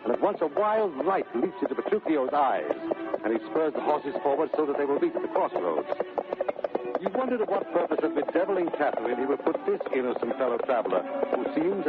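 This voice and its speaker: A man narrating a radio play in expressive, measured, scripted 1930s storytelling style. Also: mid-range voice. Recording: narrow vintage radio sound, loud music behind. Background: loud music.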